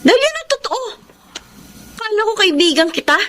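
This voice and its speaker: A woman's voice: very high voice, very animated, normal speed, fairly bright tone, exaggerated voice. A woman playing an emotional, jealous young single mother in a Filipino radio drama. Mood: jealous rage.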